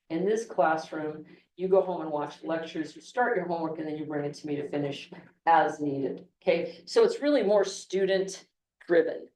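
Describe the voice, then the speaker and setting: A woman's voice: low-pitched, a little mellow, a little hiss or hum. A woman instructor giving a conversational classroom lecture, often restarting sentences and checking in with "okay". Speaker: engineering instructor. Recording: echoey classroom, from far off.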